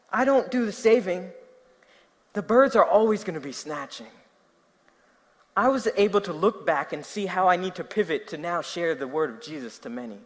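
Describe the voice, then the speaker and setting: A young man from New Jersey with a New Jersey accent, preaching a sermon, telling stories and asking his listeners questions. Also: high voice. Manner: animated storytelling, asking the audience questions. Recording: long hall reverb.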